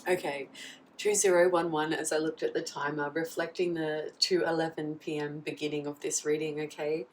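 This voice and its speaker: A woman with a husky voice from a recent flu, giving a casual tarot reading and often checking in with "okay?". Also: deep voice, little pitch movement, normal speed. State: husky, getting over a flu. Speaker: tarot reader. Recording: slightly distant mic, faint background noise.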